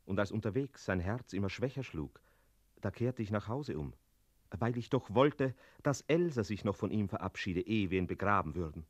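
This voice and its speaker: An actor playing a wistful talking tomcat, narrating a radio play in a measured, musing way. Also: male voice, fairly low pitch, animated, no echo. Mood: melancholy. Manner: measured, musing, punctuated with meows.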